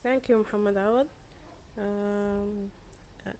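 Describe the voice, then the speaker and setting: A woman's voice: medium pitch, steady tone, slow, mellow, dull audio. A woman moderating an online webinar, calling on participants to ask their questions. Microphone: webinar call.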